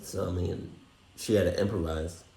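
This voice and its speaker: A man's voice: low-pitched, steady tone, normal speed, gravelly, dark tone. A man giving casual, slangy reaction commentary in a blunt, profane way. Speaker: reaction video host. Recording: roomy, echoey recording.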